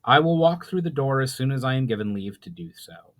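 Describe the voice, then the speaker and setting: Man acting cool, measured, proud elf. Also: mid-range voice, sing-song, close to an everyday voice.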